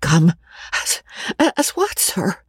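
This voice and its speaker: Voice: mid-range voice, wildly swooping pitch, slow pace, slightly rough, no room sound, voice pushed up, heavily acted. A woman voicing a demure, agitated young governess who speaks hesitantly and breathlessly in an audiobook. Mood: agitated, tearful.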